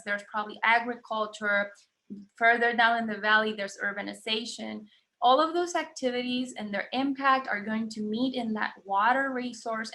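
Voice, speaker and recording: A woman's voice: a little high, bright, forceful tone. A woman from Puerto Rico giving an academic seminar talk as a guest lecturer, conversational, with fillers and restarts.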